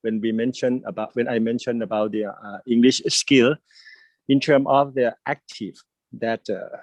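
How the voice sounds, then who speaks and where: Older Thai man with halting speech. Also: mid-range voice, fairly even intonation, no echo. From Thailand. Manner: halting, many fillers, gestures while talking. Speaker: host. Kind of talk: casual live video chat. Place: home, by a window with plants. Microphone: earphone mic on a video call.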